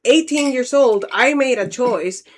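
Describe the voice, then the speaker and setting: A woman's voice: high-pitched, a little slow, slight background noise. A woman in her thirties co-hosting a podcast, still a bit congested from allergies, talking things over in a reflective, rambling way with many restarts. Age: 30s. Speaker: podcast co-host. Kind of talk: casual chat.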